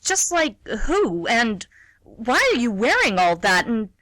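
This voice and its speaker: Woman acting a young female teacher, baffled, questioning, highish lively voice, bright tone. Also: slow, no echo, heavily acted. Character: puzzled young female first-year math teacher. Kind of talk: amateur radio comedy acting.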